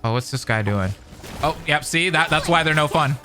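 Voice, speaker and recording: A man's voice: on the high side, animated, normal speed. A man giving casual, slangy, exclamatory live reactions as a game streamer, with game music behind him.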